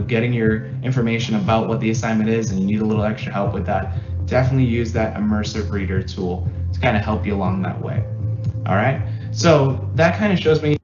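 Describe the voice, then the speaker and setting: A man giving a relaxed school tech demo for parents, with lots of "uh" and "um" and words said twice for emphasis. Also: lowish voice, fast, reverberant, muffled recording, over music. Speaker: school technology presenter. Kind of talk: screen-share software demo.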